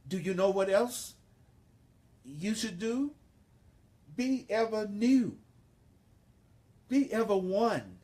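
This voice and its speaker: Male spiritual teacher, very unhurried and relaxed in tone, sermon delivery, emphatic and repetitive phrasing. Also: high voice. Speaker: spiritual teacher leading a course.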